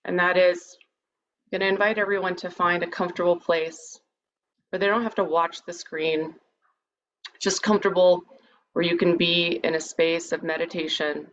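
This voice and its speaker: A woman leading a guided meditation in a soothing, measured, invitational manner. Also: on the low side. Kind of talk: guided meditation, part improvised, part read lecture. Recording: dull, lo-fi sound with background noise.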